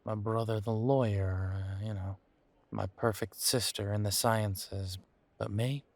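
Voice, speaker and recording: A man playing a bitter, wry young werewolf in an audio roleplay, talking haltingly and sardonically as if in intimate one-sided conversation. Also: lowish voice, fairly even intonation. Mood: wry, resentful. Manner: halting, sardonic, conversational with sighs and repeated words.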